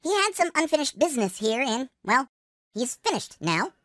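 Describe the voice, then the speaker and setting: A woman's voice: high-pitched, big pitch swings, moderate pace, fairly bright tone, no room sound, clean studio sound. A woman playing an anxious, exasperated, chatty droid adventurer in a sci-fi comedy radio drama, fretful and dramatic. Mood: nervous, hopeful.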